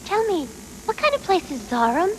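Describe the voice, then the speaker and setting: A woman's voice: very high-pitched, sing-song, moderate pace, slight background noise, rough recording. A woman voicing a curious, determined young cyborg girl in an anime dub on a worn tape. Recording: worn VHS dub, faint music.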